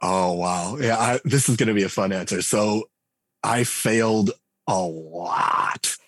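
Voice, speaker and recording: A man's voice: lowish voice, slow pace, a bit bright, no room sound, clean studio sound. A man in his forties speaking as a guest in a casual interview, talking loosely with many restarts and fillers. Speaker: game writer and designer guest. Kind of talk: conversational podcast interview.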